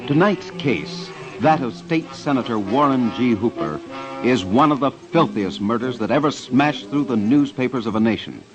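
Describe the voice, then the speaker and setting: A man with an American accent narrates like a 1940s crime radio host, grave and emphatic. His pitch swings widely for drama, and the recording is dull, like an old broadcast. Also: animated, normal speed, over music.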